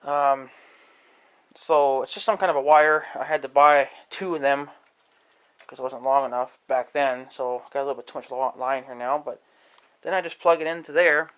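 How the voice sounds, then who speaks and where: A man giving a casual, rambling demonstration to viewers, halting and thinking aloud as he talks. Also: mid-range voice, steady tone, fairly bright tone, clean tone, muffled recording. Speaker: amateur video creator demonstrating an app. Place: indoors in own room at home.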